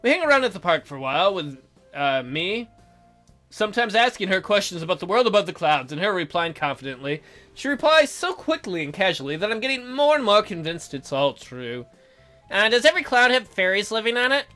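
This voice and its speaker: A man's voice: sits high, very animated, fairly quick, pushed and bright, soft background music. A man reading a visual novel aloud as a let's play host, in a theatrical, jokey way with his own ad-libbed commentary.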